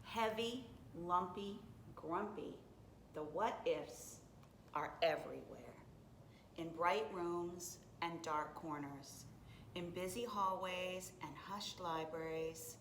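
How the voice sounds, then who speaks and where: A woman reading a children's story aloud expressively, with deliberate, dramatic phrasing. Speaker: storybook reader. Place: indoors, library. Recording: echoey room with faint rumbling noise.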